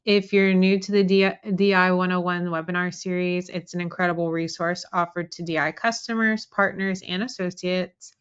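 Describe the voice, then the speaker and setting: A woman, a marketing specialist, reading a scripted webinar introduction in an even, formal manner. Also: lowish voice, moderate pace, few pauses, smooth, highs cut off. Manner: reads a prepared welcome in an even, formal way.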